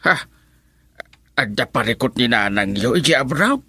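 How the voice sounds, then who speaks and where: A man's voice: medium pitch, lively intonation, moderate pace, bright, forceful tone, husky, no room sound. A man playing an elderly, concerned grandparent, fretful and exclamatory, in an Ilocano radio drama. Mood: surprised, worried.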